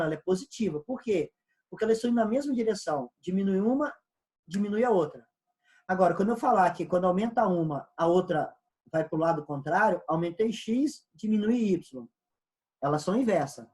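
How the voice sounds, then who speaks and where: A man's voice: high-pitched, darkish tone. A man with a Brazilian Portuguese accent teaching an economics lesson, explaining step by step and repeating key points. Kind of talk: recorded online class lecture.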